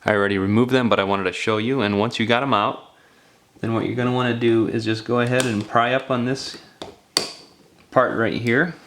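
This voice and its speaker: A man's voice: mid-range voice, steady tone, brisk, fairly bright tone, slight background noise. A man narrating a hands-on DIY repair tutorial in a casual, folksy, step-by-step way. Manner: folksy, informal, step-by-step phrasing. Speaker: DIY phone repair instructor.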